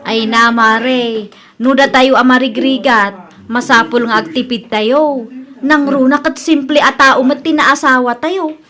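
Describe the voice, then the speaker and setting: A woman's voice: high-pitched, fairly even intonation, unhurried, fairly bright tone. A woman playing a chatty, prying friend in an Ilocano comedy radio drama, speaking with an Ilocano accent. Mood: teasing. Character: nosy, gossiping Ilocano woman friend of the wife. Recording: music under the voice. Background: music.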